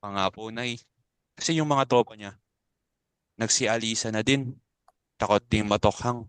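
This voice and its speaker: Man acting a sensitive college boy, clear voice. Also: fairly low pitch, steady tone, measured pace, fairly bright tone, no echo. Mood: confiding. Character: naive, sensitive young male college student, bullied son. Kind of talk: dramatic stage reading in Tagalog.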